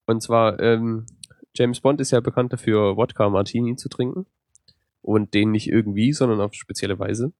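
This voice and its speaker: A man with a cold, co-hosting a podcast in a relaxed, rambling way with many fillers. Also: fairly low pitch, moderate pace, no room sound, studio quality. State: has a cold. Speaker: podcast co-host. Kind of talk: casual podcast chat.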